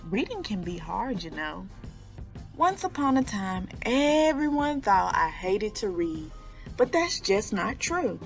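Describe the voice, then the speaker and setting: A woman reading a picture book aloud to children in an expressive storytelling style, drawing out key words. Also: mid-range voice, takes it slowly, vocal fry, soft background music.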